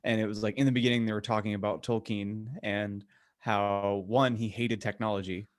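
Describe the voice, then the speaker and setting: A man's voice: lowish voice, little pitch movement, normal speed, dry recording, clean studio sound. A man co-hosting a podcast in casual chat, rambling along with enthusiasm. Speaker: podcast co-host.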